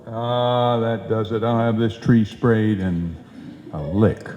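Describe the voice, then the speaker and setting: A man's voice: on the low side, fairly even intonation, slow, darkish tone, a little hiss or hum. A man playing a blustering, folksy husband and tall-tale-telling bumbler in an old-time radio comedy, with slangy, exclamatory talk. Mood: boastful. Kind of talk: old-time radio comedy acting before a live audience. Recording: dull, echoey live hall recording.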